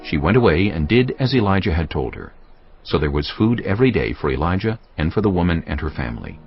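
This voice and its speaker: A man narrates a Bible story solemnly in a very low, husky voice. His delivery rises and falls dramatically, over faint music. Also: very animated, quick pace, dull audio.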